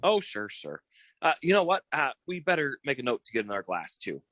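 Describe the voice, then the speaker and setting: A man's voice: mid-range voice, big pitch swings, fairly quick, fairly bright tone, dry recording, studio quality. A man playing a nonchalant, cheeky young would-be detective in 1940s radio style. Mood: cheerfully brash. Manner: cheeky, casual.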